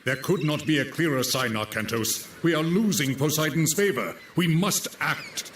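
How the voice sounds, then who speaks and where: Solemn counselor in an echoing hall. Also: male voice, a little high, expressive, normal speed, fairly bright tone, a little hiss or hum. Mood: grave. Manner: solemn, insistent. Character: grave, solemn counselor of Atlantis urging duty. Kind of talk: dramatic video game cutscene acting.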